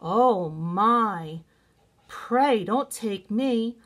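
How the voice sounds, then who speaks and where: A woman's voice: lively intonation, very slow. A woman voicing the smallest billy goat in a storybook tale, a meek, pleading little creature with a small voice. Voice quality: small, high voice.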